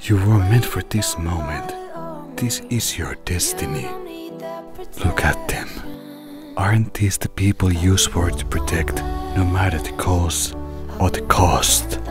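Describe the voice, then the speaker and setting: Man playing a very deep, slow, tender loved one. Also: music in the background. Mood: encouraging. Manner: soothing, reassuring. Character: tender lost male loved one, gentle and encouraging. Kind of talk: animated-series voice acting.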